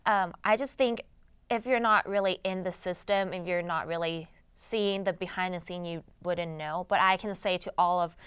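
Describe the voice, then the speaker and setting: A woman's voice: on the low side, fairly bright tone, clear, resonant tone, dry recording. A young woman from Thailand, a native Thai speaker, answering interview questions in a casual conversational way. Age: young adult. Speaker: interview guest, pageant titleholder and journalism student.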